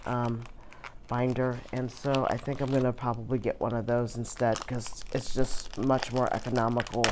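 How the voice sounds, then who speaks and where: A hoarse woman chatting casually through an unboxing, with many filler words. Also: rumbling low, dark tone, slight background noise. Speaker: vlogger.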